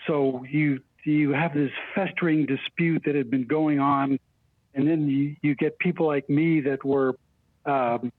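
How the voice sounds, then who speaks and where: An older man, an interview guest, recalling his experiences in a halting, deliberate way over a remote call. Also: a little high, steady tone, dry recording. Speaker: interview guest, former union spokesperson. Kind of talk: reflective personal recollection in interview. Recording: thin phone-like line, dry.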